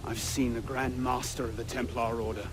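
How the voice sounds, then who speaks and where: Man as a defiant young assassin, loud noise behind. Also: mid-range voice, level tone, moderate pace, close to an everyday voice. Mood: urgent. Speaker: actor in a video game. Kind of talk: dramatic game acting. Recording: loud ambience, thunder and vehicle noise.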